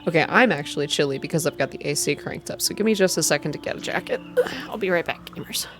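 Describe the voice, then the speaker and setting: A young adult reading a visual novel aloud in a soft ASMR style, close to the microphone, with informal asides between passages. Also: medium pitch, fast, over music. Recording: clear background noise.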